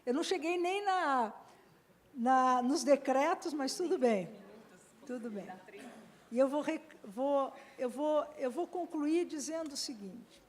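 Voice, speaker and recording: A woman delivers an academic law talk in a high voice. She is earnest and lifts her voice on the points she wants to stress. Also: animated, slow. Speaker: law professor and panel speaker. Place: seminar hall.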